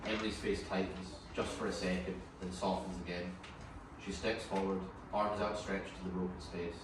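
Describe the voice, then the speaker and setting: A man's voice: deep voice, level tone, moderate pace. A man narrating scripted stage directions at a screenplay table read. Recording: distant, echoey room with clear noise.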